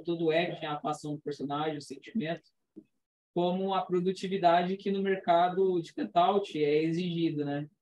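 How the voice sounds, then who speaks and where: A man's voice: high-pitched, a little mellow, rough recording. A Brazilian man aged nineteen chatting casually as a working animator. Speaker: student turned working animator. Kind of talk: casual chat.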